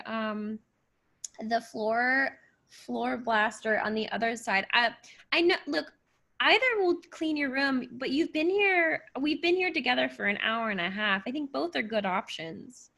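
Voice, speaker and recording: An impatient, helpful store clerk, played by a woman in improv comedy, a little hesitant. The voice is high and bright with a sharp edge. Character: impatient female electronics store salesclerk.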